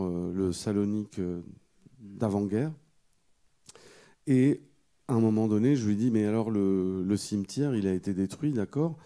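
A middle-aged man with a low, very dark and soft-edged voice speaks unscripted as a panel guest. He feels his way through the story, hesitating and doubling back on words. He holds a microphone close. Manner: halting, hesitant delivery with repeated words. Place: indoor auditorium stage. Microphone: handheld microphone held close.

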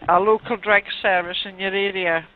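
A woman working as a helpline operator, questioning in a brisk, blunt, businesslike way. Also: medium pitch, fairly even intonation, soft background music. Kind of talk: phone intake questioning. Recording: tinny, narrow telephone line.

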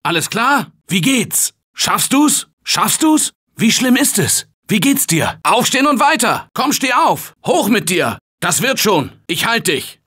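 A man's voice: sits high, very animated, unhurried, hardly any pauses, husky, full character voice. A man voicing an upbeat male office worker surviving a zombie outbreak in a video game, calling to his team in an urgent, encouraging way. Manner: urgent encouraging team calls, shouting under attack. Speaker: game character voice. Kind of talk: video game voice lines, dubbed.

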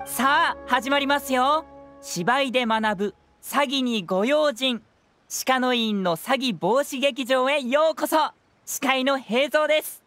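A woman's voice: high voice, slow pace, a bit bright, faint music behind. A woman voicing a clever, playful young male detective in a video game, presenting and narrating in a polite theatrical way. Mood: showy, welcoming.

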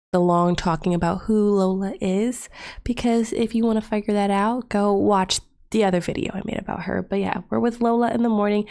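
A woman's voice: mid-range voice, gravelly, darkish tone. A young woman with a stuffed-up nose narrating her life-simulation game casually, rambling with lots of fillers into a desktop microphone. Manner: chatty, rambling, with many fillers. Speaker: Let's Play creator. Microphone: desktop USB mic.

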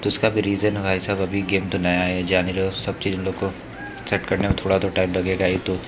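A man's voice: sits low, completely flat delivery, brisk, noisy background. A man with an Indian accent giving casual gaming news commentary, talking informally to his viewers like brothers. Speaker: gaming YouTuber. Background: music behind the voice.

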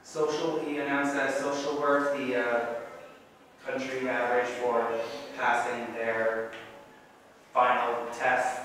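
A young man reads out a meeting report in a level, flat voice, stopping often on ums and uhs. He is picked up from far off in an echoey meeting room with a long tail of reverb and faint hiss. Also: medium pitch. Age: young adult. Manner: halting, many ums and uhs. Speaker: student government president. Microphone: far camera.

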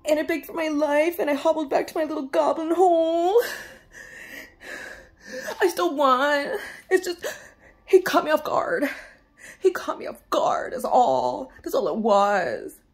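A woman's voice: high voice, expressive, measured pace, pauses often. A woman voicing a self-pitying goblin creature who fake-sobs, whines and sniffles through a comedic monologue to camera. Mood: whiny sobbing. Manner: fake sobbing, whining and sniffling.

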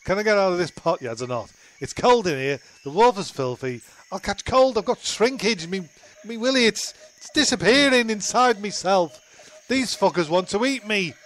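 A man's voice: high voice, big pitch swings, normal speed, fairly bright tone. A man doing improvised comedy role-play, complaining in a whingeing, sweary, rambling way. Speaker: comedy podcast performer.

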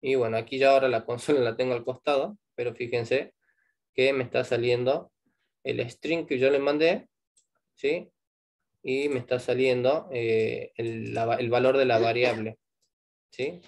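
A man's voice: medium pitch, steady tone, unhurried, darkish tone. A man with an Argentine Spanish accent teaching a live online programming class, explaining step by step and checking in with "¿sí?" after each point.

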